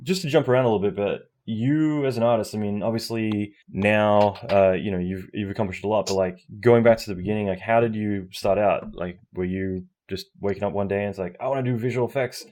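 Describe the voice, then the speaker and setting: Chatty lower-voiced male host. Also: a little mellow. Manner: chatty, jokey, talks fast in bursts. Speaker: podcast host. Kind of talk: casual interview and promo read. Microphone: call microphone.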